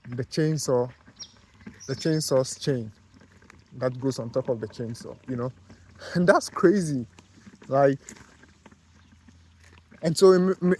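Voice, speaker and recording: A man from Ghana with a Ghanaian accent, talking casually to camera in a vlog, halting with many fillers and restarts. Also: mid-range voice, darkish tone. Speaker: vlogger, car detailer.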